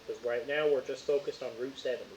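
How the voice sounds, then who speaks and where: A man's voice: medium pitch, steady tone, fast talker, a little mellow, close to an everyday voice. A man voicing a stern, territorial lawman with an exaggerated Southern drawl and a folksy, bossy delivery in a tabletop game. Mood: businesslike. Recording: dull roomy audio with faint hiss.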